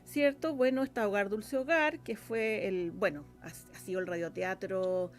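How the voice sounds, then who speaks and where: A woman with a Chilean accent talking casually as an interview guest, often halting and rephrasing herself. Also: medium pitch, soft background music. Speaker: guest, radio drama scriptwriter.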